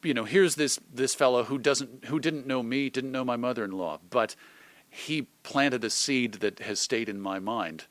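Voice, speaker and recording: A man's voice: mid-range voice, normal speed, a bit bright, no echo. A middle-aged American man talking casually and reflectively as an interview guest, thinking aloud and illustrating his points with examples. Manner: discursive, thoughtful, illustrates points with examples and imagined quotes. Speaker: interview guest, old-time radio host.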